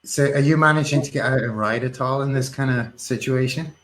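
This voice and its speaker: A man hosting a casual video call interview, easygoing and quick with short affirmations. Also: medium pitch, fairly bright tone. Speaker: interview host.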